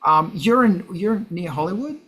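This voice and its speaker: A man's voice: high voice, expressive, moderate pace, fairly bright tone, slightly rough. A man hosting a casual chat in a brash, sweary, self-mocking grumpy way. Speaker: host.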